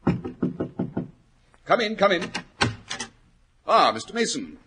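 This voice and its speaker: An actor playing a shrewd, composed detective in a 1940s radio drama, courteous, urbane and dryly sceptical. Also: male voice, high-pitched, huge pitch swings, drawn-out words, background noise, exaggerated voice. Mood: curious, brisk. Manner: crisp, urbane, questioning. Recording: old 1940s radio recording.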